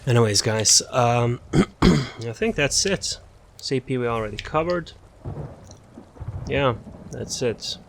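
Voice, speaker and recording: A man casually walking through a game character build, halting often with fillers and restarts. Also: medium pitch, gravelly, a little hiss or hum. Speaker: gaming channel host.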